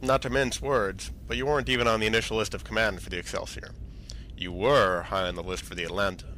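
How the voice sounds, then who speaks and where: A man's voice: fairly low pitch, fast talker, poor audio. A man playing a senior space fleet admiral in a science fiction audio drama, briefing in a formal, authoritative manner. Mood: formal, matter-of-fact. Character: commanding senior space fleet admiral, brisk and matter-of-fact. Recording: steady background ambient noise.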